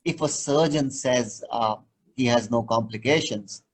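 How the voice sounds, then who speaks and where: A man with a husky, slightly breathy voice explains surgery patiently as an interview guest. He talks in a measured, teaching way and leans on simple comparisons to make a point clear. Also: medium pitch, low-quality recording.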